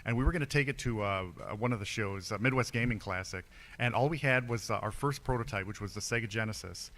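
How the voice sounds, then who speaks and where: A man's voice: lowish voice, fast talker, a bit bright. A man on a convention panel telling, with many fillers and restarts, how his arcade business began, speaking into a handheld microphone. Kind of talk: casual panel storytelling.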